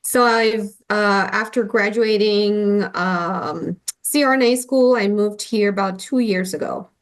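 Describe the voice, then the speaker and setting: A woman from Colombia tells her story as an interview guest. She speaks earnestly, at a mid pitch, with many likes, ums and self-corrections. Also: unhurried. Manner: warm and earnest, with many fillers and restarts. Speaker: guest, nurse anesthetist. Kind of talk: conversational interview.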